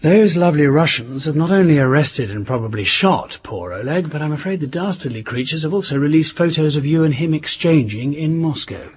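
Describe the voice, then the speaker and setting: An actor playing an urbane 1960s British husband in a radio drama, clipped, understated and dryly ironic. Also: male voice, a little high, lively intonation, fairly quick, hardly any pauses, slightly rough. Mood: sardonic alarm. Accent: British English.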